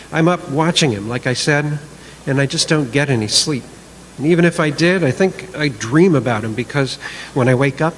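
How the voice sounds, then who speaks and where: A man's voice: medium pitch, lightly acted. Male reader as aggrieved, obsessive man in a staged monologue, middle register, noisy live recording. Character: bitter, sleepless man brooding over a feud with his neighbour. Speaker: reader in a church service skit. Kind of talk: dramatic monologue reading.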